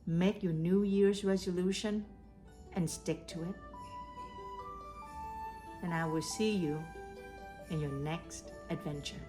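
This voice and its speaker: A woman hosting a read-aloud for children, telling stories and giving advice in a deliberate way. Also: fairly low pitch, fairly even intonation, music in the background. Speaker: host of a children's read-aloud storytelling show. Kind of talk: storytelling and advice addressed to children.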